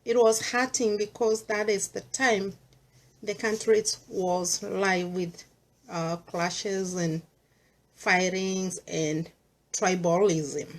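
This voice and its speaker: A woman's voice: mid-range voice, steady tone, slow. A middle-aged Kenyan woman with a Kenyan accent, speaking earnestly in a personal monologue and mixing English with Swahili. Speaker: vlogger sharing personal views.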